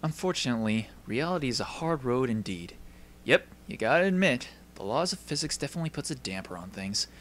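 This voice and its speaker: Male actor as cynical teen schoolboy narrator, anime dub, dry and wry, forward bright tone. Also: mid-range voice, expressive, brisk, close to an everyday voice. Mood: wry, resigned. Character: cynical deadpan teenage schoolboy narrator, wistfully world-weary.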